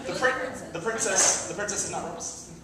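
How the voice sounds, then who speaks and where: A man's voice: high-pitched, a little fast, far from the mic. A man hosting an improv comedy show, giving informal instructions on stage in an echoey, noisy room.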